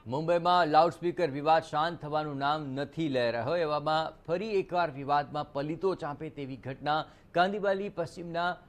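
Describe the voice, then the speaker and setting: A man's voice: a little high, moderate pace, hardly any pauses. A man anchoring a news broadcast, reading and ad-libbing the reports. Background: background music.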